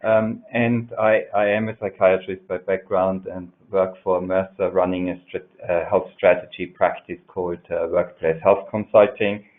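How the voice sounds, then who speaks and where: A man, a psychiatrist working as a health consultant, introducing himself in a measured way with many uhs and some dry humour. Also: low voice, flat delivery. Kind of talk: webinar introduction. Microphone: video call. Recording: tinny webinar audio.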